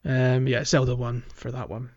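A man's voice: medium pitch, completely flat delivery, normal speed, darkish tone. A man co-hosting a podcast, chatting casually about a game and thinking aloud in a hesitant way. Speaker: podcast co-host. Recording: dull lo-fi audio with music.

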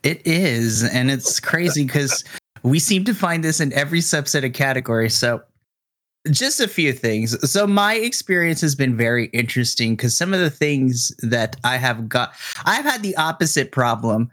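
A young man co-hosting a podcast, chatting casually and acting out quotes expressively. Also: moderate pace. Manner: expressive, acts out quotes with rising pitch. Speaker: podcast co-host. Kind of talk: casual chat.

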